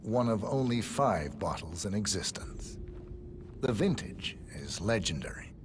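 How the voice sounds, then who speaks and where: Slow, low-voiced refined sommelier. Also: male voice, background noise. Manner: deliberate, reverent, formal. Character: refined, reverent male sommelier presenting rare wine. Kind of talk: formal video game presentation. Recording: music underneath.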